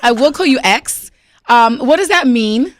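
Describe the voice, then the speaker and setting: A woman's voice: sits high, expressive, a little slow. Woman radio host, direct and teasing. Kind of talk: radio interview. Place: radio studio.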